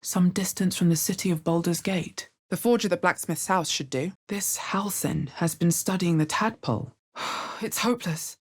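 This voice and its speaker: A guarded adventurer heroine from a fantasy video game. Her voice sits on the low side and has a strong husky rasp. She speaks in a measured, wary, dry way, as a seasoned spellcaster would. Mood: wary, observant. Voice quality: husky, bass-heavy. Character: guarded, low-voiced adventurer woman, player hero who casts spells.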